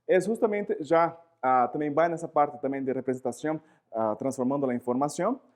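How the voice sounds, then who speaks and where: A man with a Portuguese accent speaks to a class, his voice a little higher than most men's. He talks with animated energy, throwing out rhetorical questions and pausing now and then to find the right word. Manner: animated, searching for words, frequent rhetorical questions. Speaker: lecturer. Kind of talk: live lecture to students.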